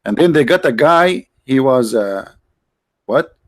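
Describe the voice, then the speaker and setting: A man's voice: mid-range voice, animated, a little slow, no room sound, clean studio sound. A man hosting a livestream, talking casually and sarcastically, with many restarts and fillers. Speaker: livestream host.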